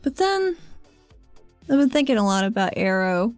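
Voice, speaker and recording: A woman talks casually as a player in a tabletop game, slipping between discussion and character. Her voice sits a bit high. The recording is very dry, with faint music underneath. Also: very animated, normal speed, dry recording. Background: soft background music.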